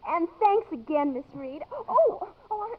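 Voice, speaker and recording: A woman's voice: very high-pitched, very animated, a little slow, highs cut off, heavily acted. An actress playing a shy, polite college-age young woman in a 1950s radio drama, eager and a little hesitant. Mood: polite, flustered. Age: young adult. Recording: thin, band-limited vintage radio recording.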